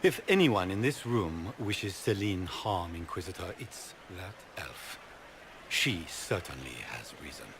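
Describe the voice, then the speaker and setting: An actor playing a scheming, courtly grand duke with a French accent in a theatrical game cutscene. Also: male voice, low voice, creaky, noisy background, heavily acted. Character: smooth, scheming aristocratic grand duke claiming a throne. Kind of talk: video game cutscene acting.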